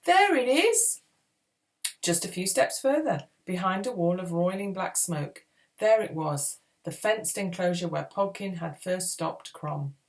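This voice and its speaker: A woman reading a children's adventure book aloud in a dramatic, expressive storytelling style. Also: on the low side. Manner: expressive, acts out dialogue. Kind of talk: dramatic read-aloud narration.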